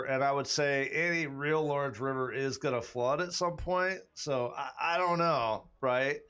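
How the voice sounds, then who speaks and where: A man's voice: a little high, fairly bright tone, clear, resonant tone. Man thinking aloud as game master. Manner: rambling, thinks aloud with frequent restarts. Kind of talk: running a tabletop campaign stream, chatting with viewers.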